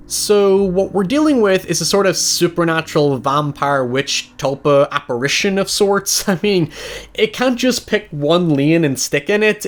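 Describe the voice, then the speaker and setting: A man with a fairly high voice hosts a scripted video essay. His pitch swings widely as he narrates, giving a lively feel to a conversational, sardonic delivery. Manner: wry, sardonic, with rhetorical questions and mild swearing. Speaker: host of a film retrospective show.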